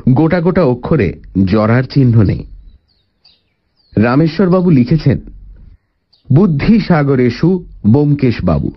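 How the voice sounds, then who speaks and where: A man narrating a scripted literary audio story in an expressive storytelling manner. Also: mid-range voice. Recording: slightly dull recording under loud music. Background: background music.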